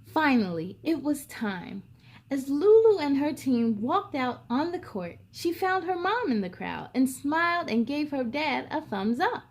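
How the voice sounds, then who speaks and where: A woman's voice: high-pitched, big pitch swings, a little slow. A woman hosting a read-aloud for young children, telling picture-book stories expressively and speaking straight to her listeners.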